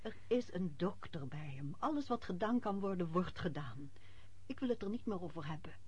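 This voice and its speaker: An actress playing a strict, fretful middle-aged mother in a radio drama, with a husky voice. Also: fairly low pitch, lively intonation, moderate pace, darkish tone, lo-fi sound. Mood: stern.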